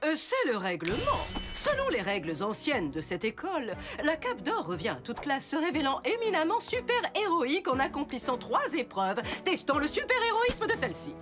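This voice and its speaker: A theatrical, exacting cartoon super principal, pronounces everything with pompous formality. The voice sits high and swings widely in pitch. Clear music plays behind it. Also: female voice, huge pitch swings, moderate pace, few pauses. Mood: imperious, formal. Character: theatrical, exacting female super principal judging hero trials. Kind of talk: French cartoon dub acting.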